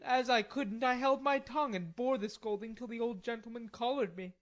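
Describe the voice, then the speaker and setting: A woman's voice: a little high, moderate pace, pitched above the performer's own voice, lightly acted. Lady putting on a sulky fiery young gent from a storybook, raised and strained, kinda off in tone. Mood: indignant. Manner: indignant, defiant. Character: hot-tempered proud young gentleman.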